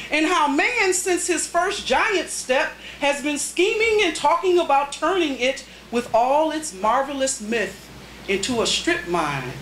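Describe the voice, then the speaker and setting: A woman performing her poetry live at a reading, with deliberate, dramatic phrasing and pauses between short phrases. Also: high voice, a bit bright. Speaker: poet, featured reader at a poetry reading. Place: indoors at a cafe poetry reading. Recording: roomy live cafe recording, faint background noise.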